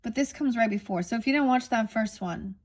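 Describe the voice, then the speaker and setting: A woman's voice: fairly high pitch, fairly quick. Woman musing through an oracle reading. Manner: meandering, musing, reads card texts aloud. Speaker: tarot reader. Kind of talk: casual oracle card reading.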